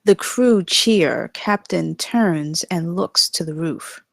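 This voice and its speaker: Slow-paced, low-voiced woman narrator. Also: creaky, no echo. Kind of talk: scripted narration of comedy screenplay.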